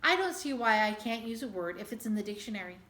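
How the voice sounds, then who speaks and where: A woman's voice: medium pitch, animated, quick pace, a bit bright, lightly acted. Earnest stubborn schoolboy, read by a woman, echoey. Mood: confident. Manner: earnest, insistent, plain-spoken. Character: earnest, stubborn schoolboy arguing with grown-ups in storybook. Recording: echoey room.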